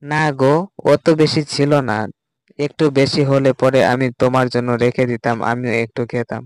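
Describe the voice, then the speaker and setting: A man's voice: mid-range voice, fairly even intonation, moderate pace, clean tone, no echo, lightly acted. A man voicing a caring, nagging village wife in a cartoon story, homely and pleading. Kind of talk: amateur cartoon story dialogue. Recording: loud background music under voice. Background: music.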